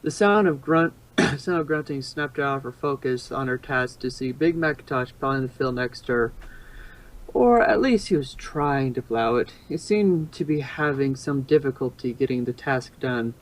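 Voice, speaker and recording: A man's voice: mid-range voice, expressive, normal speed, rough recording. A man narrates a story aloud in an ordinary mid-range voice. His reading is informal and unpolished, with the odd stumble and reread. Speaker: narrator, fan fiction reader.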